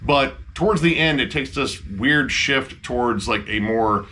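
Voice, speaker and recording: A man's voice: medium pitch, unhurried, pushed and bright, a little hiss or hum. A man co-hosting a casual album review chat, emphatic and swearing freely.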